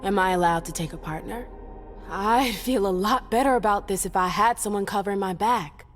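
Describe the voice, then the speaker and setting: A woman's voice: mid-range voice, fairly quick, soft background music, lightly acted. An actress playing a wary, defiant female vampire agent in a video game, guarded and skeptical in her delivery. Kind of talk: dramatic video game acting.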